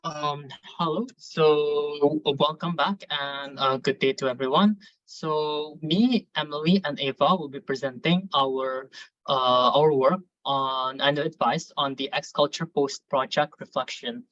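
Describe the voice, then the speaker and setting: A young man who is an academy coach introducing himself on a video call, halting with many fillers. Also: on the high side, a bit bright, no echo. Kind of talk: online meeting introduction. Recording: dull dry video call audio.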